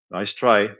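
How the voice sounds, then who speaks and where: A man chatting casually on a live stream, hesitant and full of filler sounds, often chuckling between thoughts. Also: sharp tone. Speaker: live streamer reviewing flea market finds. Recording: dull lo-fi sound.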